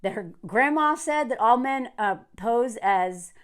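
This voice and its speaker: Woman, American, high-pitched, swooping intonation, casual vlog rant, sardonic, emphatic. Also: lively intonation, a little slow, smooth. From southern United States. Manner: emphatic, sardonic, laughing often. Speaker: online content creator.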